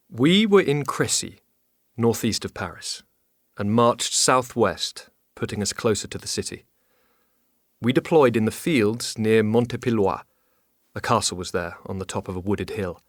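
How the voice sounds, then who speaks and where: A man playing a loyal French duke and military commander in an audio drama, with a measured, formal delivery. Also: fairly low pitch, expressive, no echo, studio quality, lightly acted. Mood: grave recounting.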